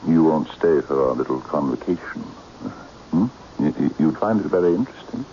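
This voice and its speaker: An actor playing a sinister, imperious occult leader in an old-time radio mystery, grandiose, condescending and coaxing. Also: male voice, extremely low pitch, animated, fairly quick, mellow. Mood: imperious, probing. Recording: muffled, noisy old radio broadcast.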